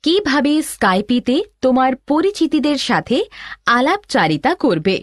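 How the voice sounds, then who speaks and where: High voiced big sister teacher, played by a woman, cartoon tutorial, very up and down, dry sound. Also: very animated, dry recording. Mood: instructive. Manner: instructional, deliberate. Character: instructive elder sister teaching a computer lesson. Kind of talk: scripted step-by-step tutorial narration for a cartoon.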